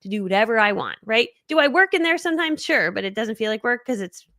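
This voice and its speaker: A woman's voice: fairly high pitch, animated, fast, a bit bright, no echo, clean studio sound. A woman guest in a casual interview, chatting in a bubbly way with frequent laughs and fillers. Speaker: guest speaker.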